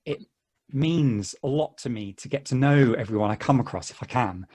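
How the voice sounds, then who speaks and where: A man reading the part of an eager, polite hiker in a stage play table read, with a halting, chuckly, friendly way of talking. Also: medium pitch, lively intonation, a little mellow, slightly rough. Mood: earnest, pleading. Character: earnest, chatty, friendly male hiker, eager to befriend everyone.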